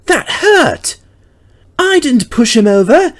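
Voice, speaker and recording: A man's voice: very high voice, very animated, takes it slowly, pitched above the performer's own voice, full character voice. A man voicing an indignant, easily alarmed female diesel engine in a storybook reading, exclaiming shrilly. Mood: alarmed, hurt. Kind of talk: storybook character voice.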